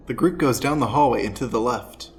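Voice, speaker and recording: Guy narrating a radio play, mid pitch, reads out the action, bit of background noise. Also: a little fast. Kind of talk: scripted-sounding stage narration of an improvised radio play.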